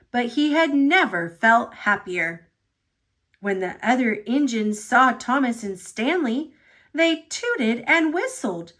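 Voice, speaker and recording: A high-voiced woman narrates a children's picture book. She takes her time over each phrase and swings her pitch expressively. The delivery is dramatic and shaped for small children. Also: fairly bright tone. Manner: dramatic, deliberate phrasing for young listeners. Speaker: storybook reader.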